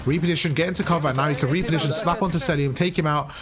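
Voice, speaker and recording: A man giving casual, slangy gameplay breakdown commentary as a video host, with a slightly croaky, sore throat. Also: a little high, steady tone, very fast, music in the background. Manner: casual, slangy, repeats stock phrases. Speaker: gaming YouTuber, host.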